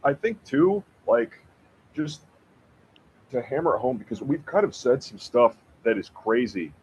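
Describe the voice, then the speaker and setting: A man chatting casually about history on a podcast, rambling and slangy, with a husky voice. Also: medium pitch, big pitch swings, unhurried, darkish tone, a little hiss or hum. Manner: rambling, slangy, filler-heavy with frequent "like". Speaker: podcast co-host discussing books. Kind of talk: casual chat. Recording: dull, lo-fi audio.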